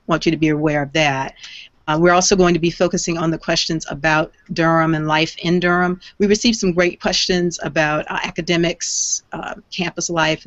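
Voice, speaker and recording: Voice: mid-range voice, fairly bright tone, dry recording, muffled recording. Moderator opening a live chat. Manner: hesitant, with "uh" and "um" fillers.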